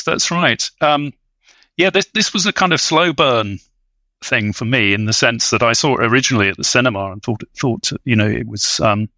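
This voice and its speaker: A man discussing film in a scholarly, thoughtful way, speaking haltingly and hesitantly as a guest commentator. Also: mid-range voice, bright, forceful tone, no room sound.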